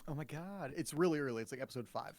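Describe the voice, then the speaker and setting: Dryly joking man, slightly high voice. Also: quick pace, darkish tone. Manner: dry, joking. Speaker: show host. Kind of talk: casual banter on a livestream.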